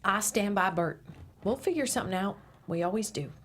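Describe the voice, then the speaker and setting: A woman's voice: medium pitch, animated, fairly quick, a bit bright, a little hiss or hum, lightly acted. A woman reading the part of a proud, stubborn former radio host with a prim manner, at a table read.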